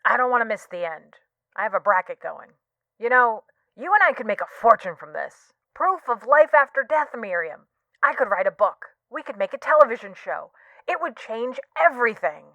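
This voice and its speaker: A woman voicing an eager, excitable male podcaster chatting pushily on a phone call in an audio story. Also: fairly high pitch, moderate pace, no room sound, pitched above the performer's own voice, full character voice. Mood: excited, pushy. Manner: chatty, pushy, enthusiastic. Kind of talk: audio fiction character dialogue.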